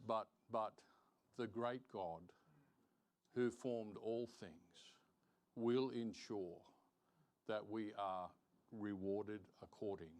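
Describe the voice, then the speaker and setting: A man teaching a Bible lesson as a preacher, deliberate and emphatic, repeating phrases for stress and reading out verses. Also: lowish voice, fairly even intonation, a little mellow. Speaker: preacher teaching Sunday school. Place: church, live-streamed service.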